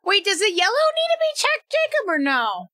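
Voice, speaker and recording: Excitable young woman streamer, losing her voice. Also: very high-pitched, brisk, no echo, studio quality. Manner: excitable, bursts into laughter and shouting. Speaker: game streamer. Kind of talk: casual live-stream chat while gaming.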